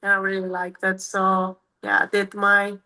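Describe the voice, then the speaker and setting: A woman's voice: mid-range voice, monotone, normal speed, sharp tone. A woman in her thirties from Romania with a Romanian accent, answering interview questions in a casual, hesitant way with many fillers and restarts. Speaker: MMA fighter, guest.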